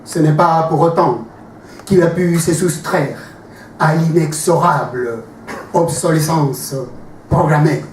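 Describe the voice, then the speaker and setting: High-voiced man declaiming slam poetry slowly. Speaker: slam poet. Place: live slam poetry evening, in a room. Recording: roomy, distant mic, faint noise.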